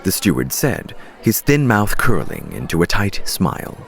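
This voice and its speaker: Lowish, expressive male audiobook narrator. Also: moderate pace, gravelly. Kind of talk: scripted story narration.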